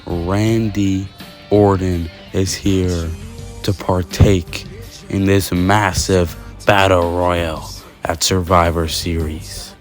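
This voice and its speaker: A man with a fairly low voice calls a wrestling show. He speaks slowly and draws out his announcing phrases, with guitar music clearly playing behind him. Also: fairly even intonation. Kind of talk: pro wrestling commentary and introductions.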